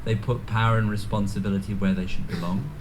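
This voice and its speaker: A man with a Welsh accent speaks in a fairly low voice, delivering an earnest ceremonial address. He hesitates often, with ums and restarts. Clear background noise from the gathering sits behind him. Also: fairly even intonation, fast. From North Wales. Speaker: guest speaker. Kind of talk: ceremonial speech. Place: community event venue.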